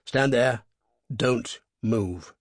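A man voicing a masked highwayman who speaks in clipped, stern commands. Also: extremely slow, no room sound, clean studio sound. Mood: commanding.

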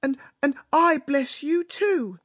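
A man voicing a sentimental, flustered mother with tearful, drawn-out delivery in a story reading. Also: very high-pitched, lively intonation, a bit bright, dry recording, pitched above the performer's own voice, heavily acted. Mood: tearful joy.

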